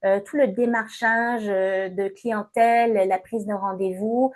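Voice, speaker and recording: A woman in her fifties from Montreal with a Québécois accent, answering interview questions in a measured way with many hesitations. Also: medium pitch, steady tone. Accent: Québécois French. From Montreal, Canada. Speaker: guest, entrepreneur and coach.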